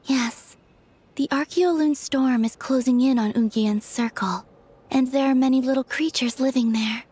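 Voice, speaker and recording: A woman playing a composed, capable young woman in a video game, giving gentle, reassuring instructions. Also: high voice, normal speed, slight background noise, natural voice.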